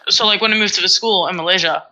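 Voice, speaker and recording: A woman's voice: mid-range voice, fast talker, bright, forceful tone, poor audio. A teenage girl from Singapore, co-hosting a casual podcast and telling rambling, self-mocking stories full of fillers. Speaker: podcast co-host. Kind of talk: casual chat.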